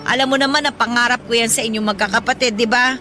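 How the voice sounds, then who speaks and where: High, bright, feisty working-class mother. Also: fast talker. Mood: earnest, affectionate. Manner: animated, motherly, colloquial, playfully indignant. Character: hardworking, proud, affectionate 37-year-old mother driving a pedicab. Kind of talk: Filipino radio drama acting.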